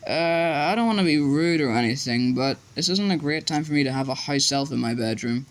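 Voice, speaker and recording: A reader voicing a polite, nervous teenage boy wizard who speaks in a hushed, anxious way. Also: mid-range voice, lively intonation, brisk, natural voice.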